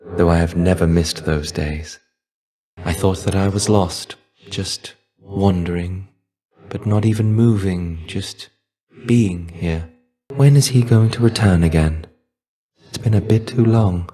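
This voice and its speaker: A man playing a weary, melancholy shade of a fallen ancient Greek warrior in a video game, measured, wistful and dryly understated. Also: deep voice, warm tone. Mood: wistful, musing. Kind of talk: video game character voice lines.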